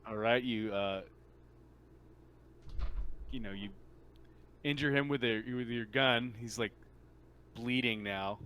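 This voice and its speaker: Male game master, lowish forward voice, casual halting narration, light noise behind. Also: fairly even intonation, pushed and bright. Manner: informal, halting, thinking aloud.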